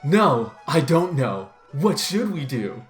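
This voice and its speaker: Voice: medium pitch, very animated, slow, voice pushed down. An amateur reader playing an indignant, defensive young man accused of a crime in a story reading. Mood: baffled. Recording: roomy echo, music underneath.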